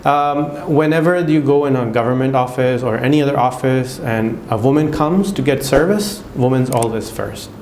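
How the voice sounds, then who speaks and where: A man's voice: mid-range voice, normal speed, few pauses, a little mellow, roomy sound, slight background noise. A man from Pakistan with a Pakistani accent, talking casually to camera with lots of fillers and restarts. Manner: chatty, many fillers and restarts. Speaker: vlogger.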